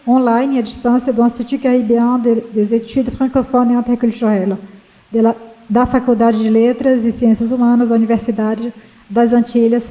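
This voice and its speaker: A woman with a Brazilian accent moderating a panel, reading a formal academic introduction in a halting way with self-corrections. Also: a little high, dark tone, rough recording.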